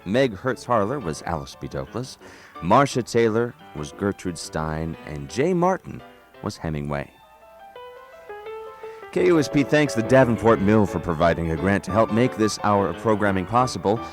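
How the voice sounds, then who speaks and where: A man's voice: lowish voice, sing-song, normal speed. A man hosting a radio show, reading scripted announcements in a presenter's style. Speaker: radio host. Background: music.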